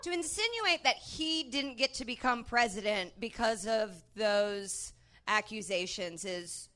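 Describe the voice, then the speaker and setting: A woman's voice: a little high, animated, unhurried, sharp tone. A woman bantering on a comedy panel in a blunt, irreverent way. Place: live stage show.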